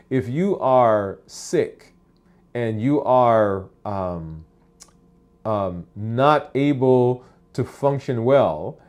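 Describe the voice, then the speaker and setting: A man's voice: medium pitch, a little mellow. Chatty man hosting a live stream. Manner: friendly, chatty, many fillers and restarts. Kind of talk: casual live-stream talk answering viewer comments. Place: office.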